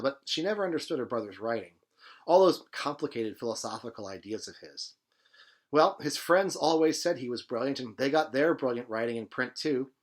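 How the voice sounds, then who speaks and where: A man with a mid-range voice reads a novel aloud. His delivery is expressive and dramatic, with emphatic phrasing. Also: mid-range voice. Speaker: presenter reading a novel aloud. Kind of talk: expressive literary reading.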